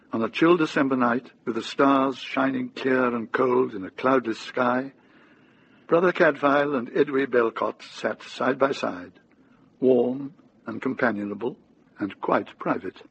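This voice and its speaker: Male narrator, radio drama: creaky, raspy, measured storytelling, slightly dull sound. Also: medium pitch. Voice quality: gravelly, husky.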